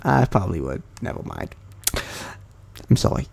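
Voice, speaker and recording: A man's voice: deep voice, very animated, normal speed, creaky, a little mellow. A young man talking casually to camera about anime, hesitant and full of ums and self-corrections. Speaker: anime commentary youtuber. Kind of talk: casual rambling monologue.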